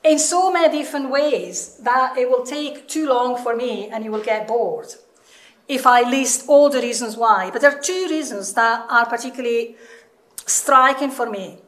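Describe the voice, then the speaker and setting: Middle aged woman w southern european accent doing an informal seminar talk, highish voice, really echoey room. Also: moderate pace, a bit bright. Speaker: seminar speaker, researcher. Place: indoor seminar room with seated audience. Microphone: microphone on a stand. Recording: echoey room, some distance from mic.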